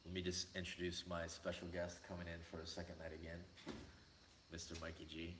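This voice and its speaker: Deep-voiced man hosting a livestream. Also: completely flat delivery, brisk, reverberant, background noise. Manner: loose, joking, greets chat viewers by name. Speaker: livestream host. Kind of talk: casual livestream chat. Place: indoor room, laptop mic. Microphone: laptop mic, no headphones.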